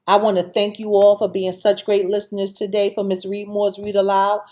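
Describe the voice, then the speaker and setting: A woman hosting a read-aloud for children, reading deliberately and speaking directly to her young listeners. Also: medium pitch, monotone, dark tone.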